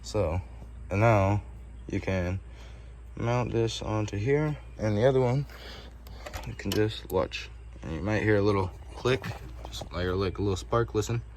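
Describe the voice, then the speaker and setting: Ambient noise sits clearly behind this phone recording of a man with a lowish voice. He gives a casual, hands-on tutorial. His delivery is informal and rambling, with a bit of swearing. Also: a little slow, long pauses, darkish tone. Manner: rambling, informal, mildly profane. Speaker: do-it-yourself video presenter. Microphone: phone camera held while working.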